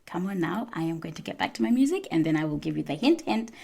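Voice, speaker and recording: A woman hosting a casual live radio show, excitable and chatty, repeating herself with plenty of fillers. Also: fairly low pitch, fast, creaky, husky. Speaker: radio host. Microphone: live stream studio mic.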